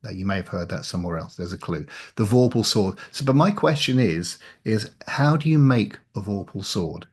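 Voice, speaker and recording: A man talking casually as an interview guest, with a halting, stop-start delivery. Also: lowish voice, animated, darkish tone, husky. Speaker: interview guest, audio drama writer. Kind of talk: casual chat.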